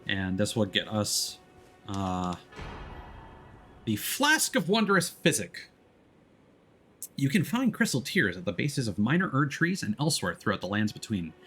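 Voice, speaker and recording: Low-voiced man streaming a video game. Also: wildly swooping pitch, fairly bright tone. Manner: halting, explanatory. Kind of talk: casual let's-play stream commentary. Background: background music.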